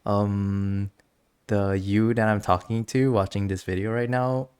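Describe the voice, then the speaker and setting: Casting: game streamer with a low voice, chatty, giggly, rambling commentary, recorded close and dry. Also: steady tone, a little slow, darkish tone, clear, resonant tone, dry recording. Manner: giggly, rambling, reads game text aloud. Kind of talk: casual gameplay commentary, chatting to viewers.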